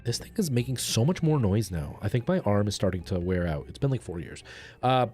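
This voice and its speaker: A man's voice: on the low side, very animated, a little fast, a little mellow, slightly rough. Man livestreaming a game over music. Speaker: video game livestream host. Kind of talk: casual livestream chat while playing a game.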